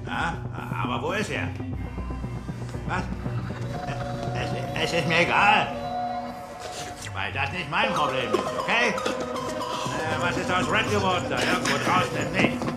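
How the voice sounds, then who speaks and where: An actor playing an irritable, self-important gangster who talks brusquely and impatiently in a dubbed TV drama. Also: male voice, a little high, expressive, unhurried, long pauses, over loud music. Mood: impatient, annoyed. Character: irritable self-important male gangster taking charge, paranoid. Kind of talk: German-dubbed TV drama acting.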